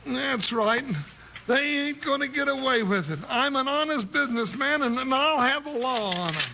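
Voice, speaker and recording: High, gravelly Old West sidekick. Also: male voice, lively intonation, normal speed, full character voice. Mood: indignant. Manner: folksy Old West drawl, exclamatory. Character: good-natured male cafe owner and deputy sidekick, blustery. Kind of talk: 1950s radio western acting. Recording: noisy 1950s radio recording.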